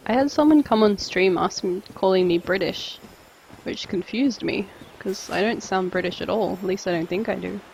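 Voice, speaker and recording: A man's voice: high voice, normal speed, creaky, darkish tone, a little hiss or hum, poor audio. Wry Australian man streaming a game. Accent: general Australian. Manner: wry, dry remarks while reading chat aloud. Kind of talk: casual live-stream commentary. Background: game music.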